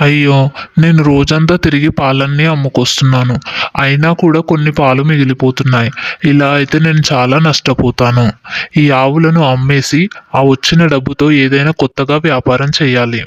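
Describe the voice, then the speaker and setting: A man's voice: mid-range voice, steady tone, a little fast, dry recording, muffled recording, lightly acted. A man voicing an earnest, restless young husband with business dreams in an acted moral story. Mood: worried.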